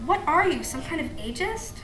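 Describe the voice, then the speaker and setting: A woman's voice: sits high, sing-song, normal speed, fairly bright tone, faint music behind. A woman playing a vain, boastful sixty-four-year-old woman obsessed with looking young, on a school stage. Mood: coy. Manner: haughty, boastful, cackling. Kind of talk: school stage play acting. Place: theatre stage. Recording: echoey theatre, distant mic, noisy.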